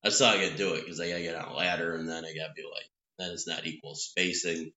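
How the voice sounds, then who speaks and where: A man's voice: low-pitched, flat delivery, fast talker, bright, forceful tone. A man co-hosting a podcast from his home patio room, rambling and joking in casual chat with frequent restarts. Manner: rambling, self-interrupting, playful. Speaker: podcast co-host. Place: three-season back patio room at home. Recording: echoey room, dull lo-fi sound.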